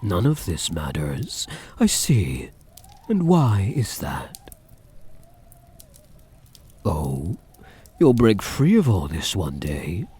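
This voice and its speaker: Vampire count played by a man, ASMR roleplay: lowish, swooping, soft-spoken purr, seductive, mocking, possessive, breathy. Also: huge pitch swings, heavily acted. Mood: mocking, amused. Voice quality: husky, breathy. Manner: slow, teasing, mock-sympathetic purr.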